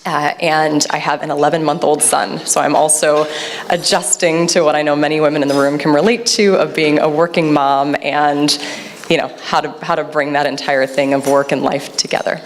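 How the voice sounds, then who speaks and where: A woman in her thirties introducing herself on a conference panel in a measured way with frequent uhs, speaking into a handheld mic in a large room. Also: low voice, steady tone. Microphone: handheld microphone. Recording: long hall reverb, faint hiss.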